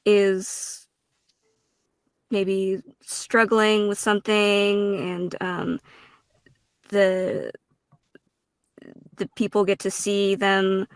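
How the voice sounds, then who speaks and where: A woman giving a casual, reflective interview answer, earnest and halting, with informal turns of phrase. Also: mid-range voice, little pitch movement, clear, resonant tone, no echo. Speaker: guest voice actor and streamer.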